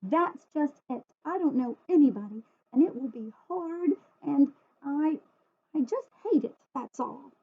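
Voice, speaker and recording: A woman voicing a sulky, anxious young schoolgirl in a storybook, with drawn-out whiny protests. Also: high-pitched, animated, pitched above the performer's own voice. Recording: phone-like, muffled thin audio.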